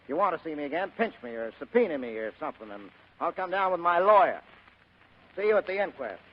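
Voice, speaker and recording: An actor playing a tough, wisecracking American private detective in old radio drama style, with clipped, insolent, slangy delivery. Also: male voice, a little high, sing-song, fast. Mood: defiant. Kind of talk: 1940s-style radio acting. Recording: tinny, noisy old radio recording.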